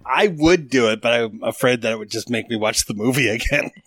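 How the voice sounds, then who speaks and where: A man's voice: medium pitch, fairly quick, a bit bright, no echo. A man co-hosting a podcast, chatting casually and joking with his guests. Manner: joking, teasing. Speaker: podcast co-host. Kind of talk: casual chat.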